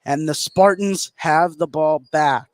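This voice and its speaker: Higher-pitched American man calling basketball. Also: slow, dry recording. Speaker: play-by-play sports announcer. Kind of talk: live basketball radio commentary.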